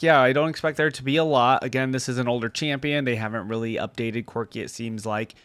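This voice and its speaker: A man hosting a casual reaction video, reading aloud and commenting conversationally as he thinks out loud. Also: medium pitch, clean tone, no echo. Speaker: YouTube reaction host.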